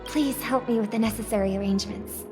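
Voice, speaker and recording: A woman voicing a gracious, courteous young lady of high standing in a video game, formal and polite. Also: fast, close to an everyday voice. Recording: background music under the voice.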